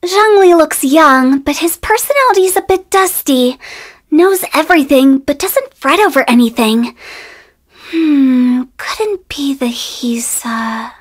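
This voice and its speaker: A woman's voice: high voice, expressive, takes it slowly. A woman voicing a playful, eccentric young female funeral director in a video game, with a theatrical, teasing, sing-song delivery. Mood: gossipy, mischievous. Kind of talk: video game character voice lines.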